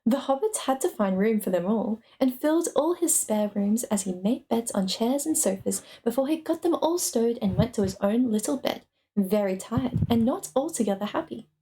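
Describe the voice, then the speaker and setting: A woman's voice: on the high side, expressive, moderate pace, dark tone, poor audio. A woman streamer narrating a story aloud in a dramatic reading.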